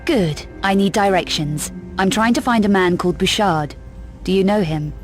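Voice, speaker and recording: A woman's voice: medium pitch, moderate pace, soft background music, natural voice. A woman playing a tough, self-assured adventurer in a video game, with a terse, dry, unflappable delivery. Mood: cool, probing. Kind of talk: video game cutscene acting.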